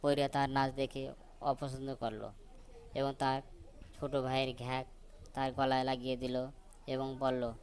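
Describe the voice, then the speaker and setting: A man's voice: medium pitch, little pitch movement, unhurried, pauses often. A man narrating a scripted children's story. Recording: noticeable background noise.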